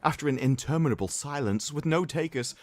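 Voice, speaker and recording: A man's voice: a little high, fairly quick, darkish tone, no echo. A man hosting a livestream, doing a mock-dramatic reading with commentary in a theatrical, sarcastic, mock-solemn manner. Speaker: livestream host.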